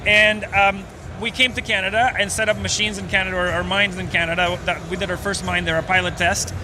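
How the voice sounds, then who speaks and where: A man's voice: sits high, flat delivery, fairly quick, noisy background. A man from Venezuela, a startup co-founder, explaining at length in a casual interview with many fillers. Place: outdoors at a busy conference.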